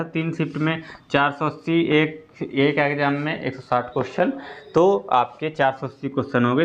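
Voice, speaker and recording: Higher-pitched man explaining, dull audio. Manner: repetitive, step-by-step explaining. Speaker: exam-update presenter for students. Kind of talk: informal explanatory update.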